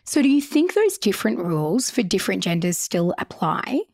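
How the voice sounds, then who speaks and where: A woman's voice: mid-range voice, very animated, brisk, gravelly, a little mellow, dry recording, studio quality. A woman in her forties hosting a podcast, asking questions in a friendly, playful and encouraging way. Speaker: podcast host. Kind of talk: casual interview chat.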